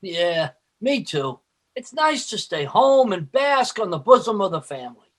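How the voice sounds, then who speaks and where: An actor playing a working-class next-door pal in an old-time radio comedy, dry-witted and mock-noble, with rough grammar. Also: male voice, high voice, sing-song, measured pace, slight background noise. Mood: agreeable, dry. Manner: wisecracking, ungrammatical, mock-noble.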